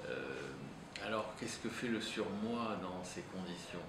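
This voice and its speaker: A man's voice: deep voice, lively intonation, unhurried. A man whose first language is Italian, answering as a psychoanalyst in an interview, thinking aloud with frequent hesitations. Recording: roomy echo, distant mic, noisy.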